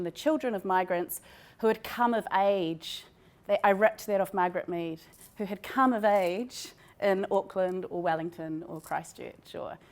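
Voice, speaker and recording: A woman with a New Zealand accent presenting research in an informal, conversational academic lecture. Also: medium pitch.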